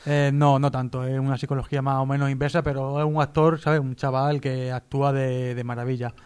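A man's voice: mid-range voice, little pitch movement, a little fast, darkish tone, clean tone. A man with a Spain accent casually reviewing a TV series as a radio presenter.

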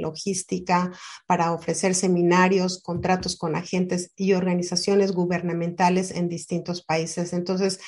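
A woman's voice: fairly low pitch, darkish tone. A woman hosting an interview, asking questions and affirming often. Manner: attentive, frequent affirming interjections.

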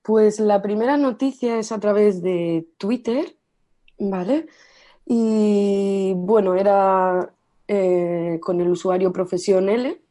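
Female guest from Spain, teacher, warm mellow tone, explanatory. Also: medium pitch, takes it slowly. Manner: explanatory, with small restarts. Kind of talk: casual interview chat.

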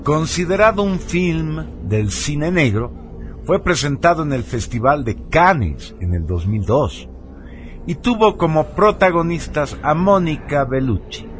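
A man's voice: a little high, expressive, a little slow. A man reading a scripted film synopsis as a radio presenter. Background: faint music.

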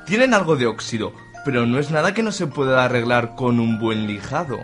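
A man's voice: medium pitch, lively intonation, brisk, fairly bright tone, soft background music, natural voice. Male actor as conservative father, comic radio soap; mid-range, breezy, cajoling. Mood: coaxing. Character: conservative middle-aged father, falsely sweet and coaxing.

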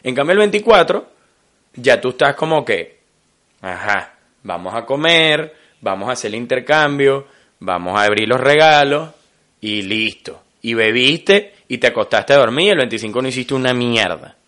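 Un hombre con acento venezolano, copresentador de un podcast, que conversa en tono informal, bromista y lleno de jerga. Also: male voice, medium pitch, moderate pace. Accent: Venezuelan Spanish. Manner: joking, animated, full of slang. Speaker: podcast co-host. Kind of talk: casual chat.

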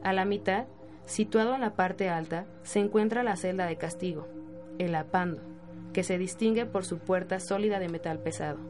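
A woman's voice: lowish voice, normal speed, over music. A woman reading scripted narration for a radio drama.

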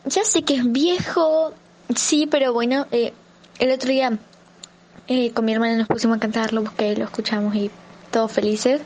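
A woman's voice: a little high, fairly even intonation, moderate pace, darkish tone, slight background noise. A woman with an Argentine accent talking casually and playfully, poking fun at herself. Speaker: radio show host. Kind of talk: casual, informal talk.

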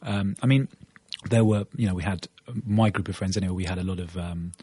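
A man's voice: sits low, fairly quick, mellow, slightly rough, dry recording. A young Englishman from Norwich, a guest on a radio chat, answering thoughtfully with many ums and a few laughs. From Norwich, England. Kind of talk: casual interview answers.

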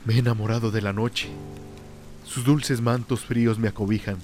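An actor playing a lonely, tormented drunk sinking into fear and madness in a brooding, theatrical radio drama monologue. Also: male voice, fairly low pitch, steady tone, moderate pace, darkish tone, soft background music, close to an everyday voice. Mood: brooding, melancholic. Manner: brooding, theatrical first-person soliloquy.

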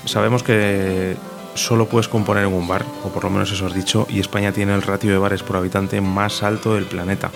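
Low gravelly interviewer. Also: male voice, flat delivery, a little fast. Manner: fluent, with a few filler ehs. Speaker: interviewer, show host. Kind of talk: scripted introduction and questions. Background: loud music.

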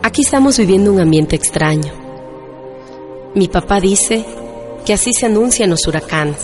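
A woman's voice: mid-range voice, lively intonation, normal speed, natural voice. An actress playing an uneasy young woman narrating her letter in a radio drama. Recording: loud music under the voice.

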